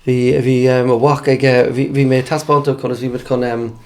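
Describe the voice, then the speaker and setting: An Irish man co-hosting a casual bilingual chat, speaking haltingly as he repeats phrases and explains words. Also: mid-range voice, flat delivery, darkish tone. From Ireland. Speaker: podcast co-host.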